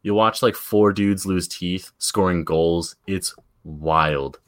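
A man co-hosting a casual podcast, deep-voiced and self-deprecating. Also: slow. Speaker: podcast co-host.

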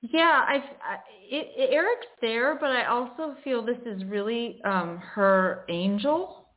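A woman medium giving intuitive readings to callers, hesitant with many pauses and restarts. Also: a little high. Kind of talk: intuitive reading, casual and halting. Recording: phone-like radio line.

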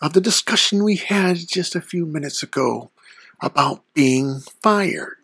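Actor as sly Lord of Darkness for comedy podcast. Unhurried, husky, pompous, very dry close sound. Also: medium pitch, animated, fairly bright tone, dry recording, full character voice. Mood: haughty, menacing. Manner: pompous, deliberate, theatrical. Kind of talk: mock interview in character, audio comedy.

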